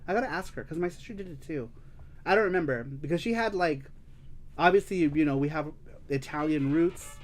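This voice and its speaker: A man from the United States hosting a live-streamed show, chatting casually as he reads viewer comments. He is animated, laughs often, swears freely and slips into Spanish. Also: a little high, fairly quick, slight background noise. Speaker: host of a live-streamed retro gaming show.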